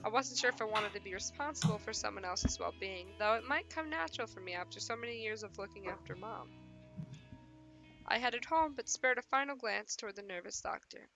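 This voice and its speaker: A woman's voice: mid-range voice, animated, brisk, creaky, fairly bright tone. A woman hosting a visual novel livestream, reading narration aloud and chatting casually. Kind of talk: narration read aloud and casual stream chat. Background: game music.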